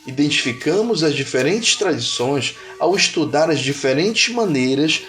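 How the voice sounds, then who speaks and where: Higher-pitched Brazilian man narrating. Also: expressive, normal speed, a bit bright, faint music behind. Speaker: narrator of an educational cartoon. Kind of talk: scripted educational narration.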